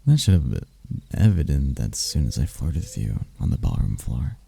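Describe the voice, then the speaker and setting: A man playing a suave, arrogant demon prince of lust in an intimate ASMR roleplay, with a gravelly, breathy voice and a smooth, taunting manner. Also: very deep voice, sing-song, dark tone, full character voice. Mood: mocking, menacing. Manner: smooth-talking, taunting, unhurried, dropping into whispers. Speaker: voice actor.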